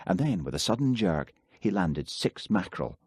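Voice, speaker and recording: A man narrating an audiobook with calm, steady pacing. His voice is very low and slightly husky, and the recording is dry and close with no room sound. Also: moderate pace, dry recording. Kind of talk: scripted narration.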